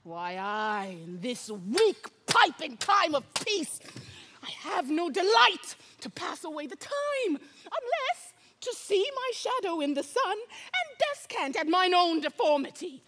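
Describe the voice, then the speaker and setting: Shakespearean villain, scheming and embittered, high bright forceful voice, theatrical delivery. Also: female voice, very animated, slight background noise, exaggerated voice. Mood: menacing, conspiratorial. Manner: declamatory, deliberate, with sudden drops to hushed menace. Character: embittered, scheming deformed royal villain, a man, brooding and mocking. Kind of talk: theatrical Shakespearean stage monologue.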